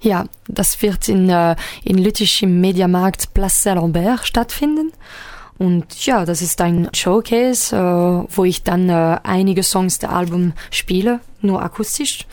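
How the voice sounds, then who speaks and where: A woman's voice: lowish voice, unhurried, a little mellow. A woman whose first language is French talks about her songs in a radio interview. She searches for words, with frequent fillers and careful phrasing. The sound is dry and close, with no room echo. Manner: hesitant, many fillers, careful non-native phrasing. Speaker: singer-songwriter guest.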